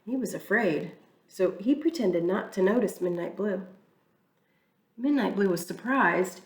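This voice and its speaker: A woman reading a children's picture book aloud in an expressive, storytelling way. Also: mid-range voice, measured pace. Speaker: church reader. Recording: echoey room, mic far off.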